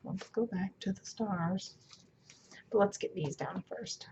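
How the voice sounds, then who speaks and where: Female craft vlogger, mid-pitched and a bit raspy, chatty and thinking aloud, on a dull, noisy recording. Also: slow. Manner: thinking aloud while working. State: slightly hoarse, raspy voice. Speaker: planner vlogger. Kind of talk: casual chatty craft narration. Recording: muffled sound with clear background noise.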